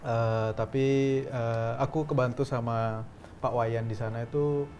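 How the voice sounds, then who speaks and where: Relaxed man in interview chat. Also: medium pitch, a little slow, slight background noise. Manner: relaxed, thoughtful with frequent fillers. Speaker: actor guest.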